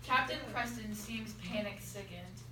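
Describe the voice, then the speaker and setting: A woman's voice: medium pitch, a little slow. Young teen girl narrating, distant and echoey. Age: young teenager. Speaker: student narrator. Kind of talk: scripted narration read aloud in a classroom play. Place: school classroom. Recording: echoey classroom, far from mic, noisy.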